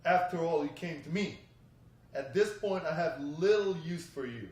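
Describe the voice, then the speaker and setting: A stern, menacing gang leader read by a man with a fairly high voice. He talks deliberately and guardedly in a drama table read, off the mic in a reverberant room. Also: darkish tone, natural voice. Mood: threatening, skeptical. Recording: echoey room, distant mic.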